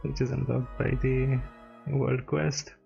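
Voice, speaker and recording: A male streamer reacts casually to a game in sparse, muttered phrases, very slowly. His mid-pitched voice sounds slightly dull and lo-fi, with faint music behind. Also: flat delivery, gravelly, warm tone.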